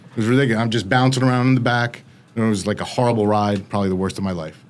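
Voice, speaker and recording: A younger man from the United States, telling his story as an interview guest in fast, animated casual talk, slipping in quoted voices. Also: lowish voice.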